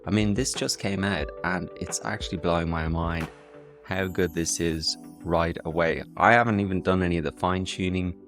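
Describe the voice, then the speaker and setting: An Irish man hosting a casual software tutorial in an enthusiastic way. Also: low-pitched, fairly even intonation, moderate pace, music in the background. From Ireland. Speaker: tutorial video host.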